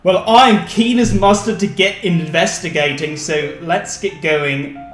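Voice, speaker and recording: A man's voice: fairly high pitch, measured pace. A man hosting a let's play, chatting casually and joking with a tongue-in-cheek, teasing manner. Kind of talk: casual joking game commentary. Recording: roomy echo with music behind. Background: music.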